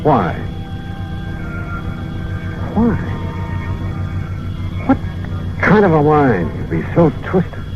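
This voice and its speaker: A brisk, wry private investigator from a fifties radio play. His clipped, emphatic voice swings widely in pitch. It comes through a dull vintage recording with music prominent behind it. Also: huge pitch swings, exaggerated voice. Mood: bitter. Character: brisk, sharp male insurance investigator detective, urgent and wry. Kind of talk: 1950s radio detective drama acting.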